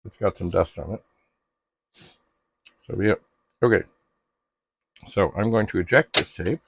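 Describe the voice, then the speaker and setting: A middle-aged man narrating a casual hands-on demonstration, musing haltingly aloud to himself with droll, slightly formal turns of phrase. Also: lowish voice, creaky, background noise. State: frequent coughing and throat clearing. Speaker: retro computer hobbyist and video host.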